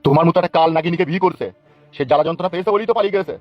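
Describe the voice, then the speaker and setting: Casting: male actor as blustering, threatening politician for comic cartoon, highish pitch, very fast, dry lo-fi sound. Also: natural voice. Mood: scolding, threatening. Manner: scolding, cursing, blustering. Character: angry foul-mouthed male village politician, bullying and threatening. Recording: dull lo-fi, music behind.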